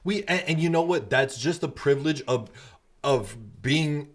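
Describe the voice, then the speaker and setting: A man's voice: a little high, moderate pace. Blunt, emphatic male podcast host. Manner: blunt, emphatic, swears freely. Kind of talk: casual podcast debate.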